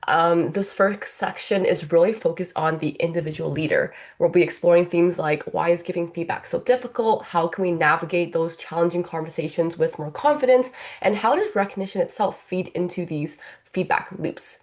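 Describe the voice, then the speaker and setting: A woman's voice: on the low side, a little mellow. A woman hosting a webinar in a semi-scripted, affirming and encouraging way. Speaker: webinar host.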